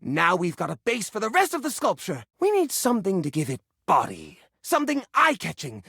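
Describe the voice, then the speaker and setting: Game role: living junk drawer, quirky earnest hoarder, highly animated delivery, dry studio sound. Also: male voice, a little fast, creaky, breathy edge, no echo, heavily acted. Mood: thoughtful, fussy. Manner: expressive, earnest, fussy musing. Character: quirky, sentimental male junk drawer personified, fussy hoarder. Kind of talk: video game character acting.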